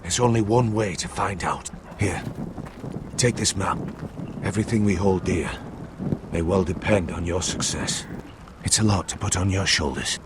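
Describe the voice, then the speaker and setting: An actor playing a grave, devoted older uncle in a medieval fantasy game, speaking in a solemn, formal, measured way. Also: male voice, sits low, background noise, lightly acted. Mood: solemn, fond. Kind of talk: dramatic fantasy game acting.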